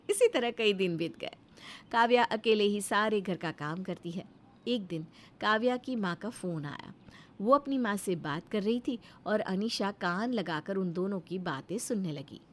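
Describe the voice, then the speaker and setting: A woman narrating a scripted moral story as storyteller. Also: mid-range voice.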